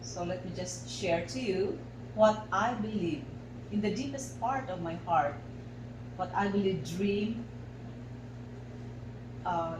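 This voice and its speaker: A woman with a Filipino accent leads a guided expressive arts lesson as facilitator, speaking deliberately with measured pauses. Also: mid-range voice. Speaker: workshop facilitator and teacher. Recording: echoey, distant microphone, loud background noise.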